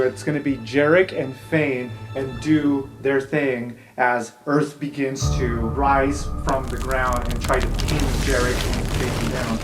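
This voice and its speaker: A man narrating an improvised tabletop game as game master, informal but dramatic when setting scenes. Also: mid-range voice. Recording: roomy echo with music underneath. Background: music.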